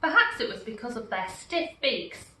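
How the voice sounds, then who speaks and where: A woman's voice: on the high side, normal speed, a little hiss or hum. Woman voicing an eager young animal boy. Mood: wondering. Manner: eager, wondering, excitable. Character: cheerful chocolate-loving young male animal with a snout. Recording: echoey room, far from mic.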